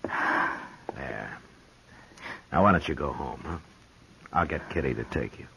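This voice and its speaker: An actor playing a calm, commanding frontier marshal, terse and firm, in a 1950s radio western. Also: male voice, a bass voice, slow pace, gravelly, heavily acted. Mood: gentle. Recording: noisy, clicking 1950s radio recording.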